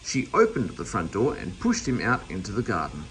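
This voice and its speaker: A man's voice: lowish voice, wildly swooping pitch, normal speed, a bit bright, low-quality recording. A man reading a children's story aloud as narrator. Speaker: storybook reader.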